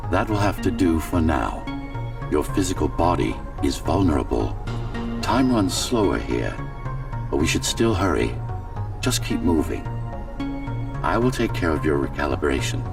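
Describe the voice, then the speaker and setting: An actor playing the calm, commanding digital mind of an old architect in a video game, measured and condescending. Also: male voice, very deep voice, vocal fry, full character voice. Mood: calm, instructive. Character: digital consciousness of a tower's architect, calm commanding older man. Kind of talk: scripted video game voice acting. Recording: distant voice under loud electronic music.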